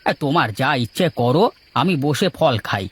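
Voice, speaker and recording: A man's voice: medium pitch, animated, moderate pace, a bit bright, slightly rough. A man voicing village men in a Bengali cartoon moral tale, with theatrical, emphatic delivery. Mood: insistent. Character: village men of a moral tale, from scheming fruit seller to distraught farmer. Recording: music behind the voice.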